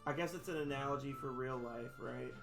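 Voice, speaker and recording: Hoarse gravelly male streamer. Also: medium pitch, fairly quick, over music. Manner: rambling, self-deprecating. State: hoarse, losing his voice. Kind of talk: casual stream sign-off.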